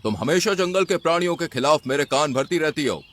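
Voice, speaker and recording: Furious cartoon lion king played by a man: raised, lively pitch, accusing and commanding. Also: brisk, natural voice. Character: angry male lion king of the forest. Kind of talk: Hindi children's cartoon dubbing.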